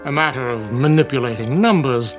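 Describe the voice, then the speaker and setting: Older male clubman, medium pitch, measured, wry and avuncular radio drama dialogue, soft music behind man acting. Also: animated, natural voice. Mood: measured, earnest. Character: genial, philosophical older clubman.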